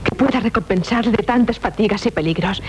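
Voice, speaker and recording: An actress playing an eager, adoring seventeen-year-old noble daughter in Spanish radio theatre, girlish and excitable, with a Castilian accent. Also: mid-range voice, quick pace, close to an everyday voice. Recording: noisy 1970s radio drama recording.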